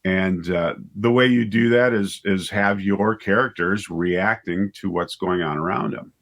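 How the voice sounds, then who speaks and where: A man being interviewed as a writer and director, explaining things casually and haltingly, often rephrasing himself as he goes. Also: low voice, a bit bright. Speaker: interview guest, writer and director of audio dramas.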